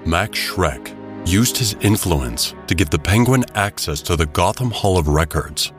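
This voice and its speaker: A very low-voiced man narrates an adventure story in a dramatic, scripted style. Music plays clearly behind him. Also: animated, a little slow.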